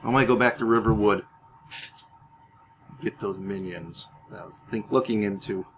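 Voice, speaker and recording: A man's voice: fairly low pitch, moderate pace, slight background noise. A man giving roleplay commentary on a video game, thinking aloud as he plays.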